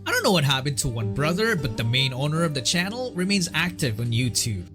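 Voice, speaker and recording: Male narrator, slightly high and very lively delivery, scripted storytelling over music. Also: fairly quick. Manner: storytelling, building suspense with rhetorical questions. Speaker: documentary narrator.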